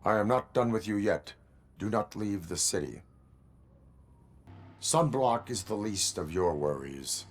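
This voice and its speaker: A man playing a stoic cyborg policeman in a video game, speaking in a formal, clipped, deadpan way. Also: on the low side, a little slow, long pauses. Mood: stern. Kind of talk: video game cutscene acting.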